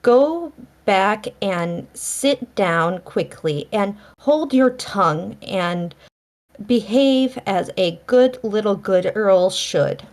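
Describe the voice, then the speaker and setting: Woman reading as strict middle-aged spinster in an old storybook, super slow n halting, mid pitch. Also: animated, darkish tone. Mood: stern reproof. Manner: halting, severe wording. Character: stern, reserved middle-aged spinster from a period novel.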